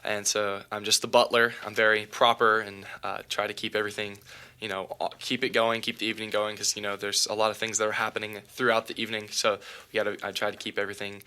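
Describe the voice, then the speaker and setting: Teen boy guest, low voice, bright edge, casual. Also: fast, clean tone. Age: teens. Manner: relaxed, filler-heavy. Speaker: student actor guest. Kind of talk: casual interview.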